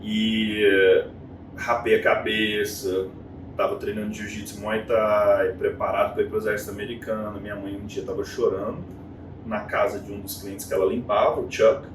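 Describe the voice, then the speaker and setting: A Brazilian man in his thirties tells his story as an interview guest, acting out voices in quotes. He sits back from the mic in a reverberant room. A steady noise runs underneath. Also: on the low side. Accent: Brazilian Portuguese. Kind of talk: casual interview chat. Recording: echoey room, distant mic, noisy.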